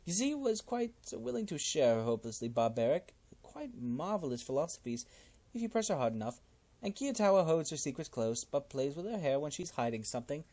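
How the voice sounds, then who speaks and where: A man's voice: on the high side, big pitch swings, a little fast, mellow. A man voicing a fussy, genteel old cannibal cult leader in a game, with a polite, wordy, fluttery manner. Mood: fretful, genteel.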